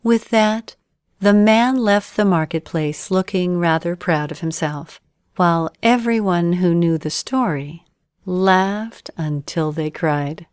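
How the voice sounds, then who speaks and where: A woman storyteller narrates a bedtime tale from a script. Her voice is a little low and her pace is unhurried. The sound is dry, with no room to it. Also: darkish tone, smooth, no echo.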